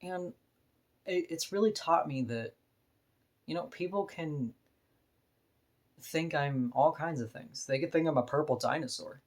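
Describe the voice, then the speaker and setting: A woman with a deep voice, talking bluntly and frankly in a candid personal vlog monologue, laughing now and then between points. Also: unhurried, pauses often, darkish tone. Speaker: vlogger.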